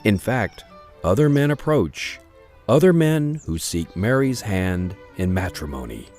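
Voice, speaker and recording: A man narrates an old-style radio tale in a steady storybook manner. His voice is low but moves expressively, with soft music behind him. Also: unhurried.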